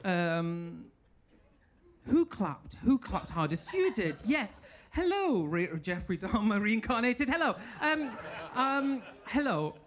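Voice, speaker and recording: A man from England with a northern English accent doing a stand-up set in a comedy club, mock-posh and deadpan with sudden high squeals. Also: slow pace. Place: comedy club stage with a laughing audience. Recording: live room with crowd noise. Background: audience laughter and applause.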